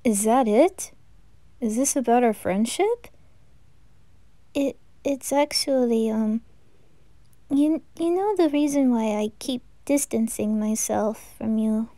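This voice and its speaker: A woman's voice: fairly high pitch, warm tone, no echo. A woman voicing a shy, earnest teenage singer boy with a hesitant manner in a hobby anime audio drama. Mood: hesitant, confiding. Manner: hesitant, halting.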